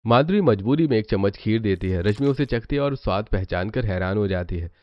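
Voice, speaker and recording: A man's voice: fairly low pitch, expressive, fairly quick, no echo. A man narrating a scripted cartoon family story. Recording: muffled audio, clear background noise.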